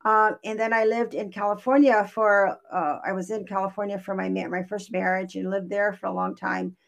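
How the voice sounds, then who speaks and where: A woman's voice: medium pitch, flat delivery, normal speed, creaky. A woman from Hawaii, a guest life coach in an interview, speaking earnestly and reflectively. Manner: earnest, reflective, many fillers and restarts. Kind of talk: interview conversation.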